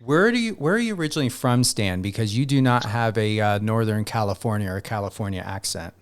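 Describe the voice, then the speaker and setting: A man's voice: fairly low pitch, animated, fairly quick. Male radio host asking questions. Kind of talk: interview questions.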